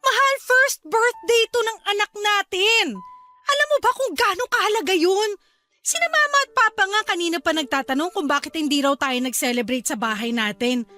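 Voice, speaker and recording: A woman's voice: very high voice, animated, moderate pace, sharp tone, faint music behind, heavily acted. A woman playing a young wife and new mother who is upset with her husband, hurt and close to tears, in a radio drama. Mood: hurt pleading.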